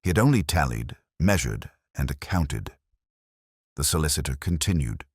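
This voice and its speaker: A man reading scripted first-person narration of a detective mystery in a measured, brooding, literary manner. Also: extremely low pitch, very animated, a little mellow, breathy edge, no echo, studio quality. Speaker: audio drama narrator.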